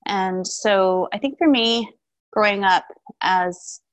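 A woman in her forties from Taiwan, raised in Canada, speaking thoughtfully with many pauses and restarts as an interview guest. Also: medium pitch, no room sound. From Taiwan, raised in Vancouver, Canada. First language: Mandarin. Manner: thoughtful, with frequent ums and restarts. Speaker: guest, shamanic practitioner. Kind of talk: casual interview talk.

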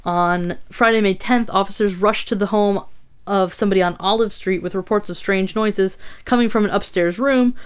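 A woman's voice: mid-range voice, moderate pace, smooth. A young woman hosting a podcast, casually reading a true crime story from her notes with swearing asides.

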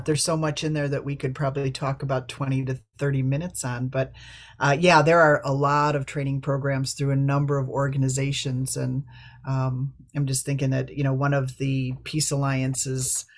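Even-toned man leading a group meeting. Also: mid-range voice. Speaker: meeting facilitator.

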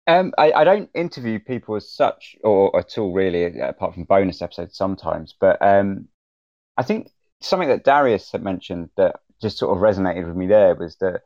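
Male panelist, low voice, tentative and understated, dry and slightly muffled recording. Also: animated, normal speed, a little mellow, no room sound. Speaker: podcaster, panelist. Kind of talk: casual panel discussion. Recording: dry, slightly dull audio.

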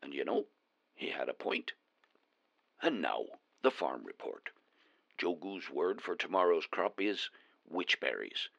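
Casting: man as a gruff, chatty dwarf news reporter with a lilt, very deep gravelly voice, crisp and bright. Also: wildly swooping pitch, a little slow, pauses often, no echo, lo-fi sound, full character voice. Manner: chatty, wry storyteller. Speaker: remote correspondent.

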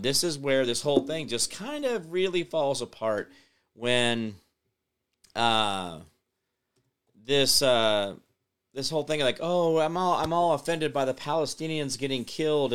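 Man, online show host, off-the-cuff political monologue, scornful, rambling, bright tense tone. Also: mid-range voice. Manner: rambling and scornful, trails off and restarts; reads viewer comments aloud and replies. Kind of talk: unscripted political commentary monologue.

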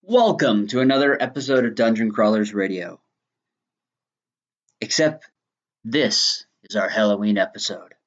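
Slow-paced, low-voiced male podcast host. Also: lively intonation, muffled recording. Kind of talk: podcast introduction.

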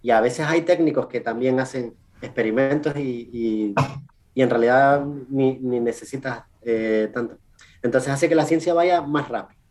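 A man with a Canarian Spanish accent giving casual interview answers as a research scientist, in an informal, halting way with self-corrections and tag questions. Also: medium pitch, darkish tone. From Canary Islands, Spain. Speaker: research scientist, podcast guest.

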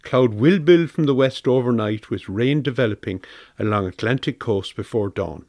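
An Irish man reads a weather forecast from a script for community radio. His voice sits at an average male pitch, recorded dry with hardly any room around it. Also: moderate pace, darkish tone, no room sound.

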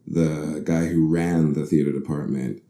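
A man's voice: very deep voice, little pitch movement, unhurried, mellow. A man in his thirties from the Bahamas, an actor being interviewed, telling his story thoughtfully, correcting himself often and laughing easily. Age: 30s. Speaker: actor guest. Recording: roomy echo.

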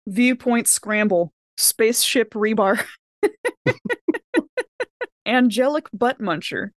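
A woman's voice: on the high side, takes it slowly, no echo, clean studio sound. A chatty, giggly woman improvising casually as a player in a tabletop roleplaying game.

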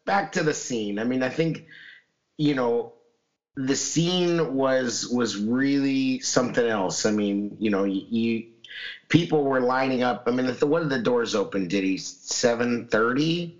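A man with a hoarse, scratchy voice after losing it, co-hosting a casual chat show and rambling with many restarts. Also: mid-range voice, a little slow, muffled recording. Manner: rambling, lots of fillers and restarts. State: hoarse, recovering lost voice. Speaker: podcast co-host.

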